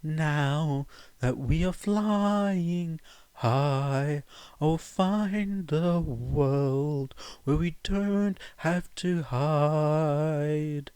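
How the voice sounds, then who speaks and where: A man voicing a defiant young male revolutionary leader in a fan fiction audiobook, with grandiose, drawn-out, theatrical delivery. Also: a little high, darkish tone, smooth, dry recording, pitched above the performer's own voice. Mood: defiant singing.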